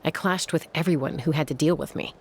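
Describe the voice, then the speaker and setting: A woman's voice: sits low, fast, a little hiss or hum. An actress playing a cool, self-assured young warrior woman in a video game, speaking in a composed, frank way. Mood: reflective. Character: cool, self-assured female warrior, lone-wolf peacemaker. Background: music.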